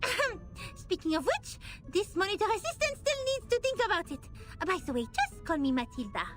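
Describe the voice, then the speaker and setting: A woman's voice: extremely high pitch, sing-song, a little fast. A woman voicing a chirpy young female monitor assistant in a game, bubbly and eager. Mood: cheerful. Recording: game audio with music behind.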